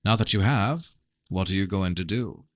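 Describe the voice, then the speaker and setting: A calculating fantasy prince, played by a man reading a novel aloud, evasive and unrepentant. He speaks formally and carefully in a fairly low voice, with a dry, close sound. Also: moderate pace, a bit bright, dry recording. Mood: coolly unrepentant.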